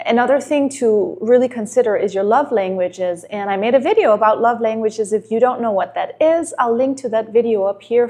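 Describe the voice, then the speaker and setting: A woman's voice: a little high, a little mellow. A woman from Denmark, a native Danish speaker in her thirties, giving earnest relationship advice to camera and asking many rhetorical questions.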